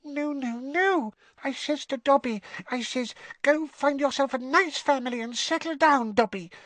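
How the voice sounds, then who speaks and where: A man voicing a tiny, timid female house elf servant with a quivering squeak and deferential, broken grammar. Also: normal speed, fairly bright tone, dry recording. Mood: scolding. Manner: broken grammar, deferential, constantly says sir.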